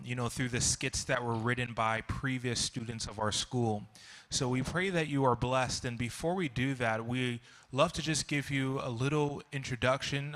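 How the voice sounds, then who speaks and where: A man hosting a church program, introducing school students and staff in a relaxed, casual way with many fillers, speaking into a handheld mic in a large sanctuary. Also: mid-range voice.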